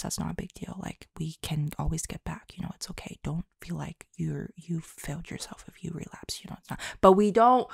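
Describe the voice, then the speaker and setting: A woman talking casually and from the heart to her listeners, halting and self-deprecating, in an informal way. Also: deep voice, no room sound, clean studio sound. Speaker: audio roleplay and ASMR creator.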